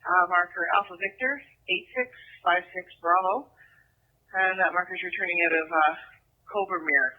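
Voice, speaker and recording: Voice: medium pitch, normal speed, narrow, tinny audio. A dispatcher relaying calls and details over a two-way radio, heard through a police scanner. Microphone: radio transmission picked up by scanner.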